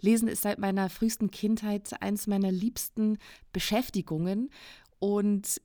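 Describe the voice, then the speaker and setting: A woman's voice: medium pitch, steady tone, a little slow, mellow, no echo, clean studio sound. A woman in her thirties, a native English speaker from South Africa, hosting a casual interview in a chatty, enthusiastic way. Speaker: podcast host and editor.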